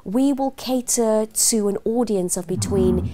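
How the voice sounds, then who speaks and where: Mellow middle-aged South African woman. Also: medium pitch, a little slow. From Cape Town, South Africa. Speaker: guest, news trainer and journalist. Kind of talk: measured interview talk.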